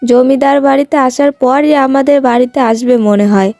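A stern village mother, acted by a woman in a cartoon moral story. She speaks emphatically in a high voice, close and dry over music. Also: normal speed, dark tone, no room sound. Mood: practical, hopeful. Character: stern, scolding mother of a grown daughter. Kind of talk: cartoon moral-tale voice acting.

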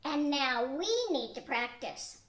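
A woman's voice: high-pitched, fairly even intonation, slow, fairly bright tone. An older woman playing Marge, an earnest, practical wife, reading steadily from a script in an amateur radio play. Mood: calm. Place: studio room with a cloth backdrop. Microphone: stand mic on a tripod. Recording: echoey room.

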